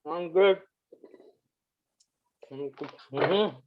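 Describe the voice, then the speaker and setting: Through noisy, dull call audio, a man acts out an easygoing security guy in a comedy web series. He mumbles short, unhurried replies. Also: a little high. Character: easygoing male head of event security on a call.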